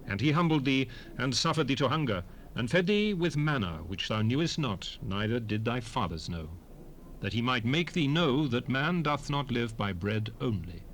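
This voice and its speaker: A solemn male prophet addressing his nation in a 1970s scripture drama. His mid-pitched voice is oratorical and measured, with wide, emphatic swings in tone. The recording is an unpolished cassette rip with faint noise behind him. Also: a bit bright. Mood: solemn, exhorting.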